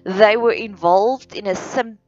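A woman from South Africa with an Afrikaans accent, hosting a solo podcast in a slow, earnest, deliberate way with many pauses. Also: medium pitch. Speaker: podcast host. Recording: dull lo-fi sound with faint music.

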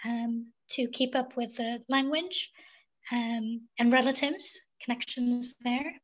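A woman from Sweden, a podcast guest, talking thoughtfully and pausing with many fillers. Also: fairly high pitch, steady tone, lo-fi sound. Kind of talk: reflective interview talk.

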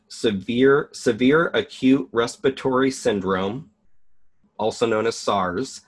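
A man hosting an online video, reading a document aloud and commenting in a deliberate, emphatic way. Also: medium pitch, slightly rough.

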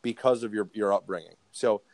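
A man's voice: fairly low pitch, steady tone, darkish tone, no room sound. Stammering man hosting a podcast. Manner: halting, repeats words often. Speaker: podcast host. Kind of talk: casual interview chat.

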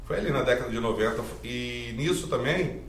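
A man, talking earnestly as a podcast guest. He rambles and keeps adding little tags to his sentences. His voice sits at an ordinary pitch, and the room sounds echoey. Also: animated, brisk, slight background noise. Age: 40s. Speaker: guest, driving school owner. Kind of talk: podcast interview storytelling.

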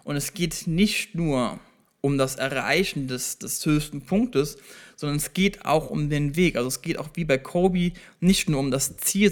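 A man hosting a solo self-improvement podcast, talking directly to the listener and explaining step by step, conversational with small restarts. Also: fairly high pitch. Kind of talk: solo motivational podcast talk.

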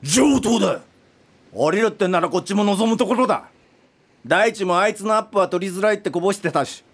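An actor playing a stubborn, hot-tempered elderly film director in a radio drama, talking in coarse, blustering language. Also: male voice, high voice, brisk. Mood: indignant, blustering. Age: older. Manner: coarse, blustering rough speech.

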